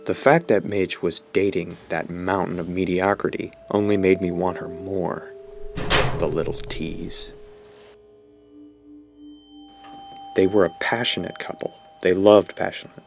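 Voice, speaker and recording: Man playing a low-voiced, smug, dramatic teen boy. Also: level tone, normal speed, vocal fry, a little mellow. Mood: boastful. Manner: pompous, dramatic. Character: smug, self-absorbed teenage boy narrating his confession. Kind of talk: audio drama acting, first-person narration.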